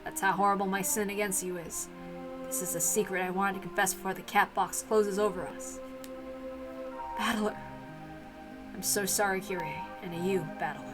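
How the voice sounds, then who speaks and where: A woman's voice: mid-range voice, fairly even intonation, normal speed, pauses often, over music, voice pushed down, close to an everyday voice. A woman voicing a guilt-ridden, solemn man in a visual novel read-aloud. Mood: remorseful.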